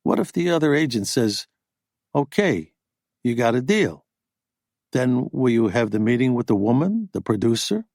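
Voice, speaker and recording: A man voicing a cool, level-headed male hustler who speaks in a measured, dry way. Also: mid-range voice, big pitch swings, a little mellow, no room sound. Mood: curious.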